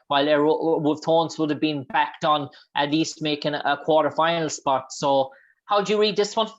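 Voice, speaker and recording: A man with an Irish accent presenting a radio sports show, asking long questions full of restarts and fillers. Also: on the high side. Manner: halting, many restarts and fillers. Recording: dull lo-fi sound.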